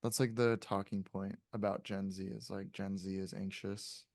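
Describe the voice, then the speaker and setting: A man in his late twenties with a fairly low voice talks casually on a podcast. He is reflective and hedges his points, swearing now and then. The sound is close and very dry. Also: level tone, moderate pace, darkish tone, no room sound. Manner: hedging, reflective, frequent swearing. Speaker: podcast guest.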